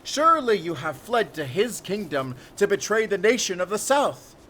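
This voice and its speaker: Casting: man playing wrathful-tempered warrior king of legend, shouted proclamations, pitch pushed well up, ambient noise behind. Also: big pitch swings, normal speed, exaggerated voice. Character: imperious southern warrior king in armor, accusatory.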